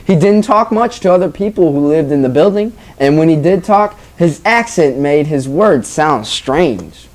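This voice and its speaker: Teacher, medium-pitched voice, reading a picture book aloud with engaging, informal delivery. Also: expressive, normal speed, few pauses, a little mellow. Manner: engaging, informal, addresses listeners as guys. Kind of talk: expressive read-aloud with questions to young listeners.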